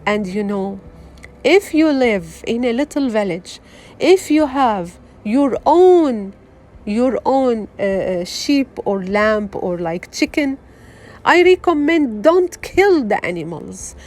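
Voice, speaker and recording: A middle-aged woman from Syria with a Syrian Arabic accent, giving an unscripted motivational talk, earnest and halting, full of fillers and restarts. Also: fairly high pitch, very animated, a little mellow. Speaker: wellness vlogger.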